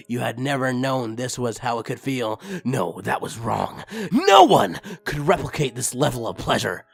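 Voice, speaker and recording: A man reading fan fiction aloud in an expressive narrating style, rising in intensity on the dramatic passages. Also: mid-range voice.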